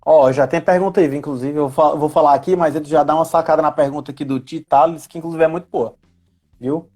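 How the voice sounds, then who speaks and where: A man's voice: fairly high pitch, fairly even intonation, fast, a little mellow, faint music behind. A man with a Northeastern Brazilian accent from Ceará, explaining things in a casual, conversational way and often checking in with tag questions. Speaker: educator, guest on a live chat.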